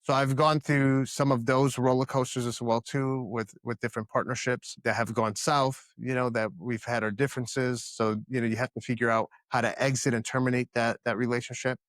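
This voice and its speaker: A man in his thirties from the Dominican Republic speaks as a podcast guest, earnest and emphatic, doubling back on words as he builds each point. His pitch sits in an ordinary middle range. The sound is close and dry, with no room around it. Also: little pitch movement, brisk, few pauses, no echo. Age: 30s. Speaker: podcast guest, company founder. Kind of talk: casual interview answers.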